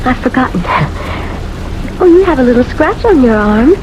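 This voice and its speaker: An actress playing a sly, eerily calm female intruder in an old-time radio drama, speaking in a deliberate, coyly imperious way. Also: high voice, highs cut off. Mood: coy, insolent. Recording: noisy, hissy old radio recording.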